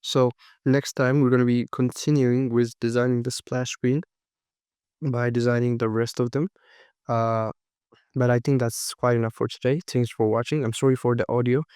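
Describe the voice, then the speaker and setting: Guy with a cold doing a screen tutorial, monotone, clean voice, dry sound, lots of ums. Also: medium pitch, clear, resonant tone, dry recording. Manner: unhurried, thinking aloud with frequent fillers and restarts. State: has a cold, voice cracking. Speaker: design course instructor. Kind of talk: screen-recorded software tutorial.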